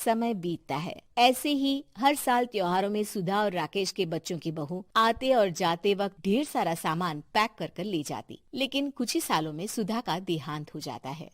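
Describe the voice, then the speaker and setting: A woman's voice: mid-range voice, animated, moderate pace, few pauses, no room sound, clean studio sound. A woman giving scripted narration for a family story.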